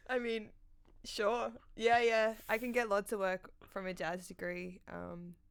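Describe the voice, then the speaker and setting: A woman's voice: medium pitch, steady tone, takes it slowly, vocal fry, clean tone. A woman in her thirties from Sydney with an Australian accent, a comedian guest talking casually and self-deprecatingly into a studio stand mic. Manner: self-deprecating, laughs at own jokes. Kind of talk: casual interview chat. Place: dark studio set, seated in armchair. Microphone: studio condenser mic on stand.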